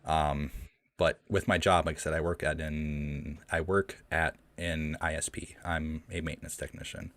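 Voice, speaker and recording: Very deep-voiced man chatting on stream. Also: little pitch movement, normal speed, a bit bright, no echo, studio quality. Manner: rambling, many ums and restarts. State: shaky voice from the cold. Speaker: streamer hosting a live Q&A. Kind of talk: casual livestream chat. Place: cold room at home. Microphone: home streaming mic.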